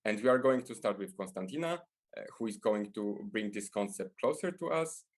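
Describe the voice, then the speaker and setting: A man's voice: fairly low pitch, lively intonation, normal speed. A man from Poland with a Polish accent, presenting a podcast as host in a scripted style.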